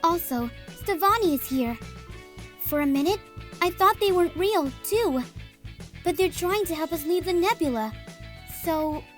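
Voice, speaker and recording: A woman's voice: very high-pitched, expressive, a little slow, pauses often, soft background music. A woman voicing a polite, dreamy, matter-of-fact alien crew member in a comic dub. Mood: calm, earnest.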